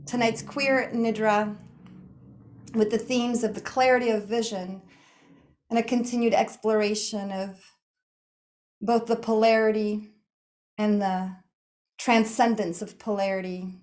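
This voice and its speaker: A woman leading a guided meditation in a soothing, unhurried manner, speaking in short phrases with pauses between them. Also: medium pitch, flat delivery, a little mellow. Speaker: yoga nidra meditation guide.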